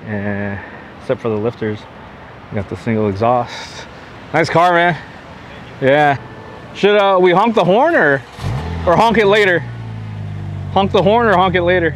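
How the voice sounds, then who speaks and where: Slow-talking man filming from his convertible. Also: a little high. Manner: laid-back, laughs often, excited whoops. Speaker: vlogger driving with friends. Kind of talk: casual driving vlog narration. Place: in a convertible car on the road, outdoors. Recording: loud road and engine noise.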